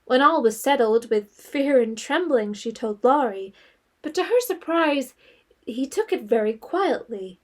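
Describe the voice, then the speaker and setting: A composed female narrator tells a period story in a high-pitched voice. The delivery is scripted, measured storytelling for an audio drama. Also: expressive.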